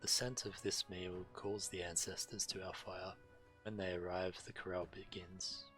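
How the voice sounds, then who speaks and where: A man with a deep but bright, forward voice reads quest text aloud as he streams a game. Game music plays lightly behind him. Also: level tone, moderate pace, rough recording.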